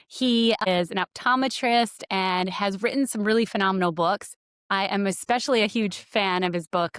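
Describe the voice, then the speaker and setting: Clear-voiced woman hosting a podcast. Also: medium pitch, fairly bright tone, no echo, clean studio sound. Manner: enthusiastic, appreciative. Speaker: podcast host. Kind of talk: conversational interview hosting.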